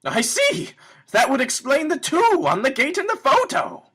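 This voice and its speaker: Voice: sits high, very animated, moderate pace, noisy background. A performer playing a pompous, easily shocked male judge in an amateur courtroom game fandub. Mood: astonished.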